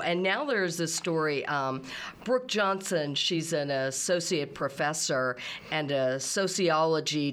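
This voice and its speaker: A woman hosting a talk show, introducing stories and questioning her guest in a steady, emphatic way. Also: low-pitched, slight background noise.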